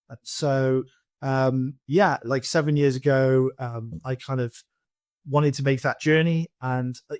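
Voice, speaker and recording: A man's voice: medium pitch, dry recording, studio quality. A Welsh man in his forties, a poker pro guest in a casual podcast interview, talking loosely with many fillers and restarts. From Wales. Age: 40s. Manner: rambling, many fillers and restarts, laughs often.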